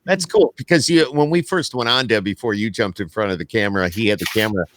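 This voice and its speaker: A man's voice: lowish voice, quick pace, noisy background. An American man hosting a podcast, chatting casually and putting questions to a guest. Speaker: podcast host. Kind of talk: casual interview chat.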